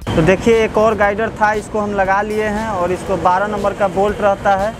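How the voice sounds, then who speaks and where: A man's voice: sits high, flat delivery, normal speed, over music. A man working as a motorcycle mechanic, who talks the viewer through an engine repair step by step in a workshop. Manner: explanatory, guides the viewer step by step. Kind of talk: workshop repair tutorial, narrating over close-up work.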